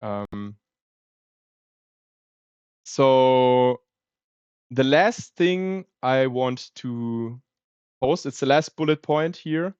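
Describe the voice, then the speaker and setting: A man's voice: mid-range voice, expressive, drawn-out words, pauses often, a little mellow, smooth, no echo, dull audio. A man with a German accent chatting casually on a livestream, thinking aloud with plenty of fillers. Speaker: streamer.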